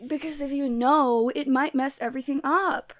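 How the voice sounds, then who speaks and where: A woman playing a Cupid who is the listener's lovestruck best friend, in a conversational, expressive roleplay audio drama. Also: high voice, normal speed, no echo, clean studio sound, lightly acted. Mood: upset, exasperated. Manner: conversational, teasing, emotionally expressive.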